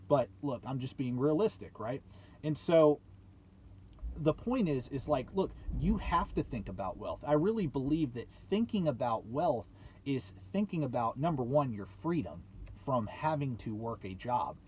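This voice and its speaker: A man's voice: mid-range voice, a little mellow, a little hiss or hum. A man in his late twenties giving a motivational vlog talk to viewers, speaking emphatically, repeating points and asking rhetorical questions. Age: late 20s. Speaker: YouTube channel host.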